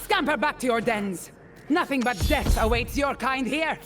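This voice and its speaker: A woman's voice: on the high side, normal speed, music in the background, close to an everyday voice. An actress playing a cold, commanding female leader of a desert warband in a video game, curt and guarded. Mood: fierce, wary. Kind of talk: video game character acting.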